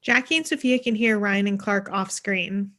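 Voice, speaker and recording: A woman's voice: medium pitch, normal speed, pushed and bright. A young woman reading scripted stage directions as narrator over a video call. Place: indoor room on a video call.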